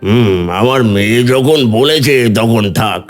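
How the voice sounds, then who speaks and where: A man playing an authoritative but indulgent landlord father in an animated story, commanding and unhurried. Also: mid-range voice, faint music behind, natural voice. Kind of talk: Bengali animated story voice acting.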